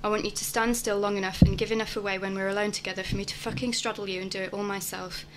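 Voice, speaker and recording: A woman's voice: medium pitch, flat delivery, rapid-fire, lightly acted, poor audio. An actress playing a frank, hungry woman in a radio play monologue, blunt and insistent with her hesitant lover. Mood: urgent desire.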